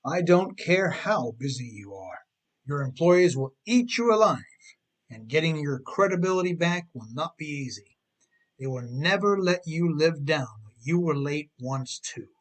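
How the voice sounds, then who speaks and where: A man's voice: fairly high pitch, lively intonation, unhurried, rough recording. A middle-aged man reading his own humorous advice book as scripted narration, with an emphatic, tongue-in-cheek delivery.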